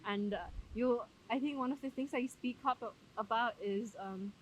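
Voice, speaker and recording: A woman's voice: fairly high pitch, normal speed, slight background noise, low-quality recording. Chatty woman podcast host. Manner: chatty, warm thanks, frequent fillers. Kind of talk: casual interview.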